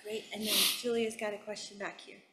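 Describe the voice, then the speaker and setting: A woman moderating a Q&A session, brisk and upbeat as she calls on questions. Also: mid-range voice, flat delivery, a crowd in the background. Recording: crowded noisy room.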